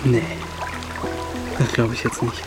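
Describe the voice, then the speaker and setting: A man's voice: mid-range voice, flat delivery, slow pace, over music, close to an everyday voice. An actor playing a worried, coaxing young man in a naturalistic radio drama, speaking in clipped, urgent pleas to his father. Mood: exasperated, coaxing. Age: young adult. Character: worried, coaxing grown son, impatient but caring.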